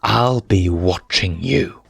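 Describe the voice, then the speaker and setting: A man voicing a cruel adult male lion villain, threatening in a slow, drawn-out way. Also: low voice, vocal fry, fairly bright tone, slightly rough, no echo, faint music behind, exaggerated voice. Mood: cold, threatening.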